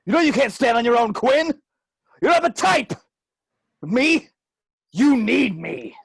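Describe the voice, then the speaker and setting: A man playing a theatrical, menacing crime boss with a raspy voice in a table read of a film script. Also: very high voice, very animated, unhurried, dry recording, studio quality, heavily acted. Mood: taunting, menacing.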